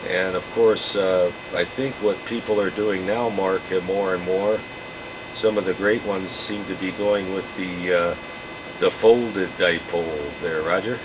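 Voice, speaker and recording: A man chatting casually as a hobby radio operator, rambling and haltingly repeating himself. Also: deep voice, fairly even intonation. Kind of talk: casual radio contact chat. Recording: constant hum and noise behind voice.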